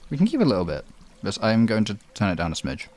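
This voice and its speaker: A man's voice: lowish voice, huge pitch swings, fast talker, darkish tone. A man hosting a livestream, chatting casually and swearing freely. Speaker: livestream host.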